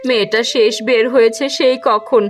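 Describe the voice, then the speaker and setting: A woman's voice: a little high, fairly even intonation, normal speed, lightly acted. A woman voicing a practical, concerned village wife and mother in a cartoon. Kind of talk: Bengali moral-story cartoon dubbing. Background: background music.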